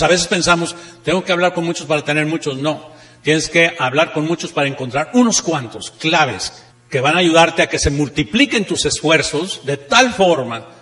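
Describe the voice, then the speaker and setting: A man's voice: high voice, bright, forceful tone. A man with a northern Mexican accent giving a motivational seminar talk, posing rhetorical questions and repeating key phrases with emphasis. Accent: northern Mexican Spanish. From Monterrey, Mexico. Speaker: seminar speaker, network marketing trainer. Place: seminar room, speaking to an audience. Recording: echoey hall with long reverb.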